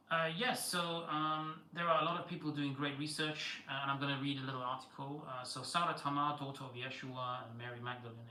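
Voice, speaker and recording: A man talks loosely to his viewers on a live stream, wandering from thought to thought with lots of fillers. His voice is very bright and cutting. The room is echoey, with faint ambient noise behind him. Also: medium pitch. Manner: rambling, many fillers and restarts, chats with viewers. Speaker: livestream host. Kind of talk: casual live-stream monologue.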